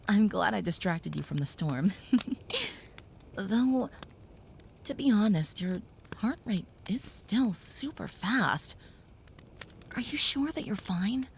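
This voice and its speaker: A woman voicing a gentle young female vampire who soothes a human listener, speaking softly and often in a whisper, in an audio roleplay. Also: medium pitch, vocal fry, slight background noise, heavily acted. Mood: soothing.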